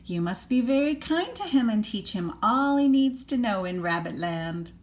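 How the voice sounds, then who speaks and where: A woman's voice: a little high, big pitch swings, moderate pace. A woman voicing a kindly, reassuring magic fairy who looks after beloved toys in a storybook. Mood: gentle, reassuring.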